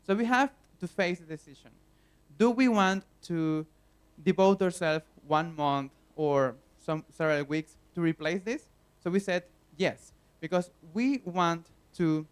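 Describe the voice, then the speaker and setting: A man's voice: on the high side, clean tone. Venezuelan man giving a conference talk. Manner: earnest, plain explaining with frequent fillers. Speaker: conference speaker. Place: conference hall.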